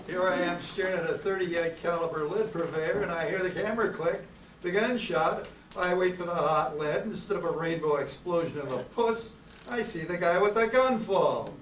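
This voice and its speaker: A man's voice: a little high, a bit bright. A man playing a sardonic, wisecracking hard-boiled detective in an amateur old-time radio play. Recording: echoey, distant, noisy room recording.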